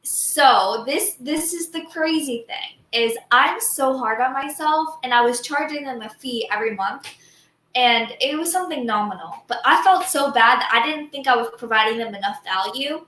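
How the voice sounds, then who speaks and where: A woman's voice: sits high, sharp tone, reverberant. A woman from Southern Florida, a guest entrepreneur, talking in a chatty way and often saying "like" during an interview over a video call. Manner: chatty, fast, says "like" often. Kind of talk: casual interview.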